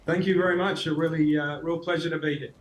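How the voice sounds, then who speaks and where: A man's voice: fairly high pitch, steady tone, quick pace, rough recording. A middle-aged Australian man answering interview questions, hesitant with frequent restarts and fillers. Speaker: guest, marketing executive.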